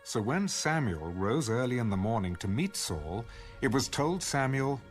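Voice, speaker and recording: Male actor as scripture narrator, lively intonation, storytelling, audio drama, faint music behind. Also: mid-range voice, normal speed, close to an everyday voice. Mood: narrating.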